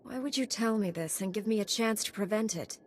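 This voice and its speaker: An actress playing a calm, resolute female knight hero in a video game. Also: mid-range voice, a little fast, a little hiss or hum, lightly acted. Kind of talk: dramatic video game voice acting. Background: music behind the voice.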